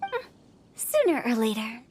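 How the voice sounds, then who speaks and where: A woman's voice: fairly high pitch, sing-song, measured pace, darkish tone, a little hiss or hum. A woman voicing a playful young female funeral parlor director in a video game, breezy, theatrical and salesy. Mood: cheeky, salesy.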